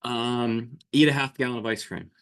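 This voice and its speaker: A man's voice: medium pitch, fairly even intonation, normal speed. A man in his twenties, a podcast guest chatting casually, hesitant with lots of fillers and restarts. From New Jersey. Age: 20s. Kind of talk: casual chat.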